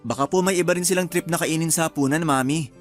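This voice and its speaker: A man's voice: on the high side, fast, soft background music, natural voice. A man playing an affectionate, easygoing young husband and son in a Filipino radio drama, with a Filipino accent. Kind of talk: Tagalog radio drama acting.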